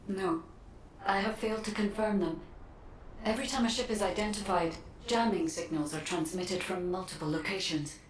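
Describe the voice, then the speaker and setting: An actress voicing a polite, calm female artificial intelligence guide in a video game, measured, formal and literal. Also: medium pitch, echoey room, a little hiss or hum, lightly acted, low-quality recording. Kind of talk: video game cutscene acting.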